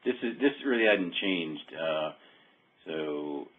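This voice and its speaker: A man talking informally through a software demo on a remote call, often muttering to himself as he works. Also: extremely low pitch, animated, takes it slowly. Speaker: software demonstrator. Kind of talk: casual screen-share demo talk. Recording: dull narrow-band call audio.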